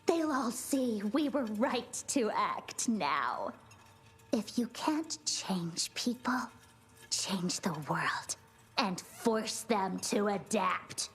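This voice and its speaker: An actress playing a fanatical, coldly ambitious villainess in a video game, speaking solemnly and reverently, at times dropping to a whisper. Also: female voice, medium pitch, expressive, drawn-out words, a bit bright, full character voice. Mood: cold resolve. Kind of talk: video game cutscene acting.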